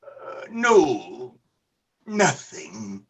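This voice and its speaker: A woman playing an old man in a radio play, wistful and moved by his past, in a husky, exclaiming, dramatic voice. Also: rumbling low, huge pitch swings, extremely slow, lo-fi sound, heavily acted. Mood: earnest.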